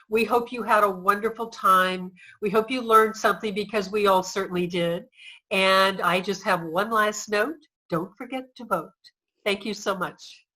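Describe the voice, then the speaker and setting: A woman's voice: mid-range voice, sharp tone, poor audio. A woman performer giving closing thanks to an audience.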